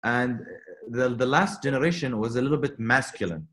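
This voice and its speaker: A young man chatting about cars on a video call, rambling and animated with many restarts. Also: medium pitch, moderate pace. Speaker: car content creator guest. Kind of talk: casual chat on a video call.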